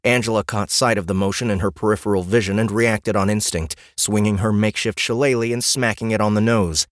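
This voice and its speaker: Low-pitched man narrating a fantasy audiobook. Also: fairly even intonation, a little fast, no echo, clean studio sound.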